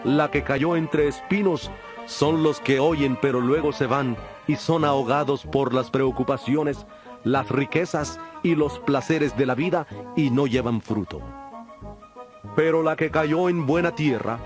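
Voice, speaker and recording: A man performs a holy teacher in a dramatized scripture recording. His voice sits a little high for a man and moves in measured, declamatory phrases, patient yet authoritative. Soft music runs behind him. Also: close to an everyday voice. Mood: solemn teaching. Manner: measured, declamatory preaching delivery. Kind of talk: dramatized audio Bible acting.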